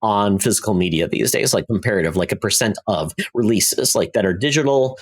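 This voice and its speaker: A middle-aged man co-hosting a podcast, musing casually in a halting, piecemeal way. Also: fairly low pitch, husky. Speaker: podcast co-host. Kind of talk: casual conversational podcast chat.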